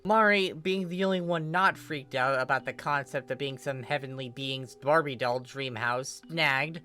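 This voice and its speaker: A woman narrates a scripted story in a low, forward and bright voice. Faint music plays behind her reading. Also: moderate pace. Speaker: fan fiction reader.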